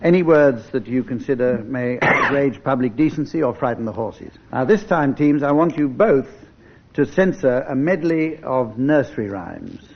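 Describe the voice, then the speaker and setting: A man's voice: medium pitch, animated, normal speed. Dry English radio panel chairman, dull noisy recording. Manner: dry, mock-formal delivery of jokes. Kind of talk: hosting a radio panel game before an audience.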